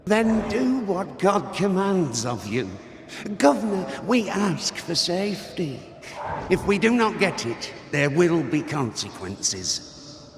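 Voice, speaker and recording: Game role: accusing townsman, slow swooping delivery, menacing and deliberate. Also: high voice, sing-song, long reverb tail, heavily acted. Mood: accusing, threatening. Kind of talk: dramatic video game acting.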